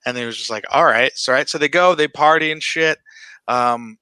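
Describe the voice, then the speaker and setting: A man's voice: mid-range voice, normal speed, sharp tone, smooth, dry recording, low-quality recording. An American man telling a family story in a casual chat, rambling with lots of "you know what I mean.". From United States.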